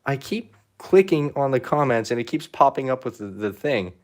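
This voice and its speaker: A young man chatting casually while painting on a live stream, rambling and self-deprecating, his pitch rising at the ends of phrases. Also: animated, moderate pace, darkish tone. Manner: rambling, self-deprecating, reads chat comments aloud. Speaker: painting livestreamer.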